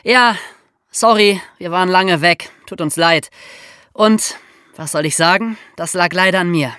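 A woman's voice: fairly low pitch, unhurried, fairly bright tone, clean tone, dry recording, clean studio sound. A woman co-hosting a podcast with casual banter, colloquial and playful in the way she talks. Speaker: podcast co-host.

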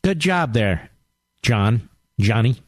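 A man's voice: medium pitch, animated, slow pace, no room sound, clean studio sound. Emphatic male radio talk host. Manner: emphatic, argumentative, lecturing.

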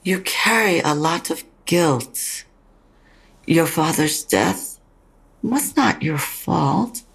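Husky, creaky dying mother. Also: sits low, expressive, heavily acted, rough recording. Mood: consoling, earnest. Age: older. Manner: slow, halting, probing. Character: dying mother, frank, consoling, stubbornly insistent. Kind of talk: audio drama acting.

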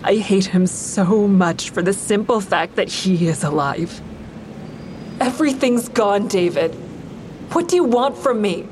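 An actress playing a bitter, grieving mother who is cold and unforgiving toward her ex-husband, in an audio drama with intense, deliberate delivery. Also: mid-range voice, lively intonation, darkish tone, close to an everyday voice. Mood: angry, scornful. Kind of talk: full-cast audio drama, first-person narration and dialogue. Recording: clearly noisy outdoor traffic ambience.

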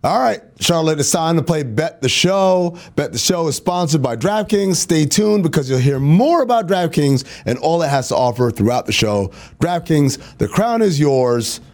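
A man hosts a sports talk show in a playful, teasing way and laughs easily. His voice sits a bit high for a man and swings widely in pitch as he talks. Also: expressive, moderate pace, hardly any pauses, darkish tone.

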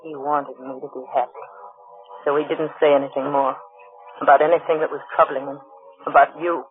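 A woman's voice: deep voice, level tone, brisk, close to an everyday voice. A woman with an American accent playing a young, intense, wounded wife in a theatrical, emotional old-time radio drama. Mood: bitter, grieving. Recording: tinny old radio recording, faint music.

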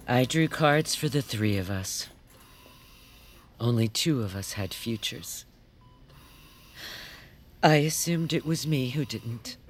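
A woman's voice: rumbling low, lively intonation, slow, pauses often, a little hiss or hum, exaggerated voice. An actress playing a plain-spoken fortune teller woman with dry humor in a naturalistic audio drama. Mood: somber, resigned.

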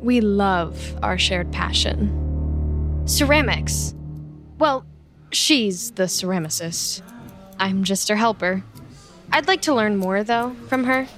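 A woman's voice: medium pitch, lively intonation, slow, pauses often, over music. An actress playing an eager, awkward, chatty young woman in an audio drama, both confiding narration and dialogue. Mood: earnest, wistful. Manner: chatty, hesitant, nervously upbeat. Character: eager, awkward, clingy young woman, human best friend of a vampire.

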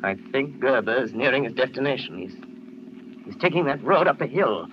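A man's voice: medium pitch, lively intonation, a little fast, lightly acted. An actor playing a determined, urgent male crime fighter in a 1930s radio drama, earnest and commanding. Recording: noisy muffled 1930s radio recording.

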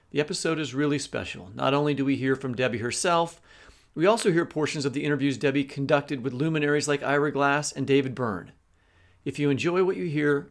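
A man co-hosting a podcast, reading a scripted promotional introduction. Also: on the high side. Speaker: podcast co-host introducing a guest episode.

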